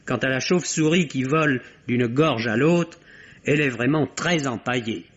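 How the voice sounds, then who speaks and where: A man's voice: medium pitch, moderate pace. A man reads a scripted radio film review in a wry, literary, critical manner. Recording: dull, band-limited vintage radio recording.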